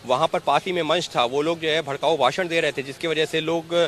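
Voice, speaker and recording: A man's voice: a little high, fairly even intonation, a little fast, fairly bright tone. A man working as a TV reporter, asking questions in a live news interview. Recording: outdoor street noise behind.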